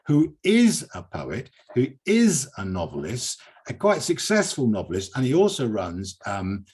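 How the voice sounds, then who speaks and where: A man's voice: mid-range voice, darkish tone, slightly rough. An older British man hosting a poetry reading, chatty and digressive, often repeating himself for emphasis. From Britain. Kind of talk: informal event hosting, reading out written replies.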